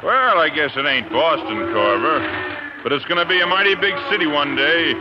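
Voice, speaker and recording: An actor playing a folksy, hearty wagon master in rustic speech, in 1950s radio western style on a muffled, noisy old recording. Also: male voice, fairly high pitch, expressive, normal speed, lightly acted.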